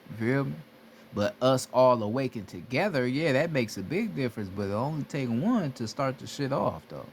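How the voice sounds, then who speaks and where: A gravelly-voiced man speaks at a middle pitch, talking like a plainspoken spiritual teacher. He is blunt and repetitive and swears constantly as he drives his point home. A faint hiss of noise sits under the voice. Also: sing-song, moderate pace. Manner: blunt, repetitive, heavy profanity. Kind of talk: casual motivational monologue.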